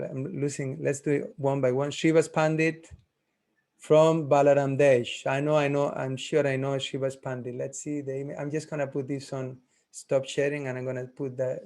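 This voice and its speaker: A man teaching a class on a video call, taking roll in a friendly, halting way, thinking aloud as he goes. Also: medium pitch, gravelly, a little mellow.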